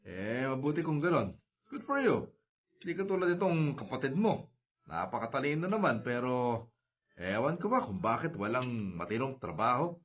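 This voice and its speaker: A man's voice: mid-range voice, big pitch swings, moderate pace, husky. An actor playing a stern, sarcastic middle-aged father with a reproachful manner in a radio drama. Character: stern, sarcastic father scolding his grown sons. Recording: dull, band-limited radio drama audio.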